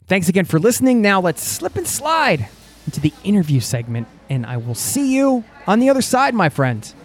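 A man hosting a travel podcast in a relaxed, chatty way, talking in a small side room of a restaurant. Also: high voice, moderate pace. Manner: relaxed, chatty, laughs easily. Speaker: podcast host. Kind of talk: casual interview chat.

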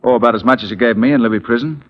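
An actor playing a confident, wry Union captain in a 1940s radio drama, nonchalant and dryly understated. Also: male voice, medium pitch, racing, background noise, lightly acted. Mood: dry, unruffled. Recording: muffled 1940s radio recording.

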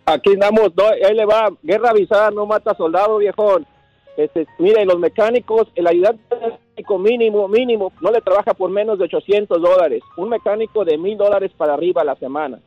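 A man calls in to a radio show and bluntly argues his point with folksy sayings. His voice sits fairly high and comes through a dull, dry phone line. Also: brisk, darkish tone, no room sound, soft background music. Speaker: caller.

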